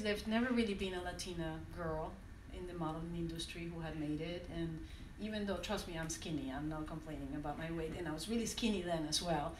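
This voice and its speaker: A woman in her forties from Venezuela, whose first language is French, gives a reflective personal talk to an audience, often pausing and restarting. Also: on the low side, slight background noise. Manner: reflective, with many restarts and hesitations. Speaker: conference speaker. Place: university classroom, indoors. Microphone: standing mic, recorded by a phone from the audience. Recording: echoey room from far off.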